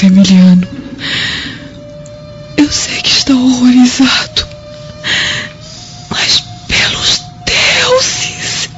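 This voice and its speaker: An actress playing a delirious, guilt-ridden old mother on her deathbed in a melodramatic radio play, with a weak, breathy voice that breaks into whispers and halting, repeated words. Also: on the high side, heavily acted. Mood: anguished confession. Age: older. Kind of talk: melodramatic radio drama acting. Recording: dull radio drama audio with music.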